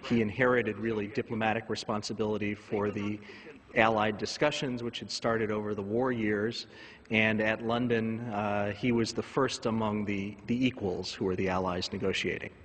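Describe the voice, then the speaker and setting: A man speaking as an expert in a documentary interview, listing points with deliberate emphasis. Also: lowish voice, level tone, a bit bright, big-room sound, a little hiss or hum. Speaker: expert commentator.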